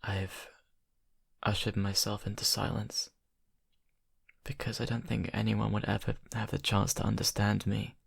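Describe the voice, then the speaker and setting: A young man acting a shy college vampire who confides haltingly and softly to his best friend in an ASMR roleplay. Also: lowish voice, level tone, creaky, husky, no echo, clean studio sound, heavily acted. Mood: earnest, resigned. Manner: hesitant, halting, soft-spoken confiding. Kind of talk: ASMR roleplay, intimate improvised monologue.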